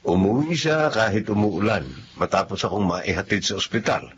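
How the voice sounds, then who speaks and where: An actor playing a gentle, ailing male boss burdened by guilt in a Filipino radio drama. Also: lowish voice, lively intonation, normal speed, dull audio, background noise, lightly acted. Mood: earnest.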